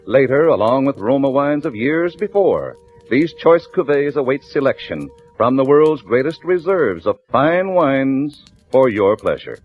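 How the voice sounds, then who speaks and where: A man's voice: mid-range voice, sing-song, a little slow. An American man reading a scripted 1940s radio advertisement as an announcer, polished and emphatic. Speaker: commercial announcer. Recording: tinny vintage radio recording with music.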